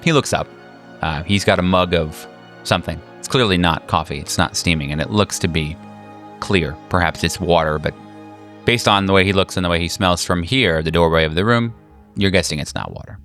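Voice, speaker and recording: A man acting as game master, improvising descriptive horror narration in a halting, casual way. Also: low voice. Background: soft music.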